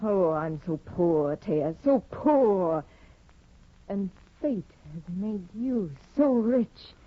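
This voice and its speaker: An actress playing a proud, scheming young wife in a 1950s radio drama, with a husky, commanding, scornful delivery. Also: female voice, mid-range voice, animated, extremely slow. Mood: bitter. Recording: dull lo-fi 1950 radio recording.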